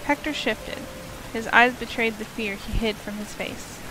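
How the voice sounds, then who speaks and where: A woman's voice: fairly high pitch, a little slow, poor audio. A woman narrating a visual novel as a scripted story, reading descriptive prose aloud. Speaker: narrator, game player. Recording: loud music and rain behind. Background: game music and rainfall.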